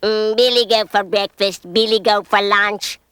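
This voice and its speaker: A woman's voice: medium pitch, fairly even intonation, a bit bright, clean tone, no echo, clean studio sound, natural voice. A woman voicing a greedy, bullying storybook troll who gloats menacingly and draws out the words. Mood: hungry, gloating. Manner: menacing, gloating, drawn-out delivery. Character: greedy slobbering bullying troll under a bridge. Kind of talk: storybook character voice.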